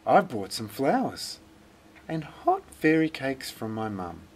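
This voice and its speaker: A man's voice: mid-range voice, wildly swooping pitch, takes it slowly, a little hiss or hum, pitched above the performer's own voice, exaggerated voice. A man voicing an earnest, polite young girl in a children's storybook. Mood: timid, polite. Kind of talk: storybook character voice.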